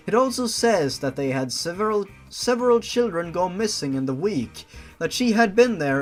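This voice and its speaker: A man reading fan fiction aloud and breaking off for exasperated, mocking, sarcastic asides. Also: on the high side. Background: background music.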